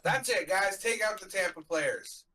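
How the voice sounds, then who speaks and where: A man's voice: high-pitched, a little slow, distant mic, a crowd in the background. A man in his thirties co-hosting a live hockey reaction stream, rambling playfully and searching for words. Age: 30s. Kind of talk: casual banter.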